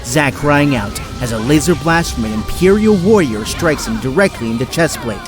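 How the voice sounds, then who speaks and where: A man's voice: medium pitch, expressive, normal speed. Guy narrating an action audio drama, epic music blasting behind him. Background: loud orchestral music.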